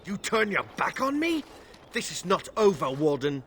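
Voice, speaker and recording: An actor playing a self-righteous knight in a fantasy game, formal, indignant and accusing. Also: male voice, sits high, sing-song, normal speed, fairly bright tone, slight background noise. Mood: stern challenge. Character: self-righteous male knight, accusing and challenging a supposed traitor. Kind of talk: fantasy video game acting.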